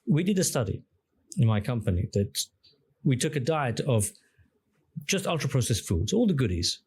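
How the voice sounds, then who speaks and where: Husky-voiced man explaining at length. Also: mid-range voice, very animated, normal speed, darkish tone. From Greek-speaking. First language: Greek. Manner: expansive, explains with questions and examples. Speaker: podcast guest, engineer and app founder. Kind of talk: conversational interview.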